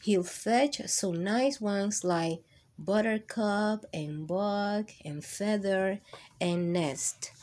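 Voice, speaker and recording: A woman hosts storytime for children, reading a picture book aloud in a mid-pitched voice. She takes it very slowly and expressively, turning to her young listeners with questions. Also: darkish tone.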